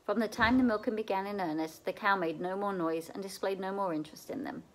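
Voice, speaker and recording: A woman reads a children's novel aloud in the manner of a library storyteller. Her voice sits at a middle pitch, and she tells the story expressively. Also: fast talker, low-quality recording. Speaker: reader at a library read-aloud.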